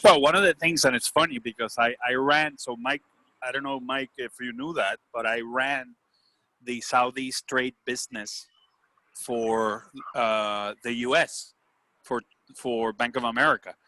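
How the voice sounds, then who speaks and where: Bright-voiced man with a Puerto Rican accent. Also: mid-range voice, fairly even intonation, unhurried, rough recording. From Puerto Rico. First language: Spanish. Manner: earnest, seeks agreement with "right?" and "you know". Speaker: business executive leading a group discussion. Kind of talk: casual group discussion. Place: video call.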